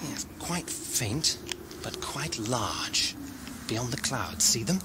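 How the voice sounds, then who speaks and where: Erudite, animated time-traveller. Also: male voice, medium pitch, slow pace, very noisy. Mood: absorbed, explaining. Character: the Doctor, an erudite, excitable time-travelling adventurer. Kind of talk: audio drama acting. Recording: loud music and effects behind.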